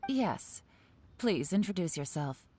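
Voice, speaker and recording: An actress playing a composed, authoritative, coolly teasing official in a game, with a poised, businesslike delivery. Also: female voice, on the low side, sing-song, takes it slowly, mellow, slight background noise.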